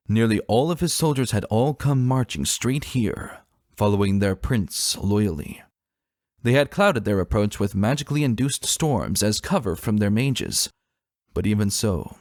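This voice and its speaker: A man narrates an epic fantasy story in a lowish voice. His delivery is measured and theatrical, with a solemn weight to the phrasing. Music plays faintly behind him. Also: a little mellow. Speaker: narrator of a fan fiction audio reading. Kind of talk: dramatic scripted storybook narration.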